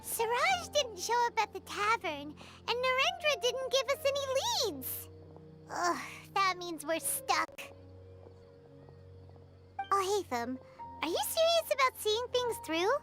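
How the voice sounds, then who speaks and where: Squeaky, childlike fairy companion. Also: female voice, big pitch swings, slow pace, heavily acted. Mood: frustrated. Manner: refers to self in third person, exclaiming. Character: small childlike floating fairy companion, chirpy and nosy. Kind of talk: video game voice acting. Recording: loud music under the voice. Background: game music.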